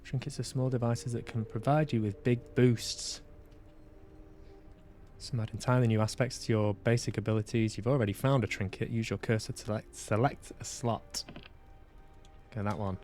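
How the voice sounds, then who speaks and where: A man's voice: on the low side, steady tone, moderate pace, darkish tone, slight background noise. A man hosting a live game stream, reading on-screen text aloud with casual asides. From England. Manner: casual asides between read-out passages. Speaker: game streamer and podcast host.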